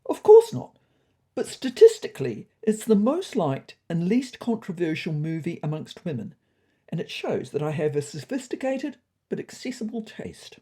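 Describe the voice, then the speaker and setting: A woman's voice: fairly low pitch, wildly swooping pitch, normal speed, dark tone, slightly rough, heavily acted. A woman voicing a pompous, fussy male cat with sophisticated pretensions, in a comic audio storybook. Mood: emphatic, smug. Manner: pompous, self-satisfied.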